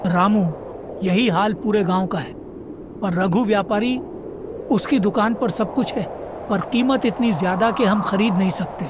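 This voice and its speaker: A man's voice: high-pitched, unhurried. Man as a righteous villager, noisy background. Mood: resolute. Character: righteous villager urging unity and compassion. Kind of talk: animated moral story dialogue. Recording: clear background noise.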